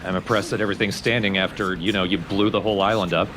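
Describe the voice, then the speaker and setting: Guy with a lowish voice explaining a game, chill lets play chat, bit of music under. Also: steady tone, fast talker, rough recording. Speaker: let's play commentator. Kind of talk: casual gameplay commentary. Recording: game music behind commentary.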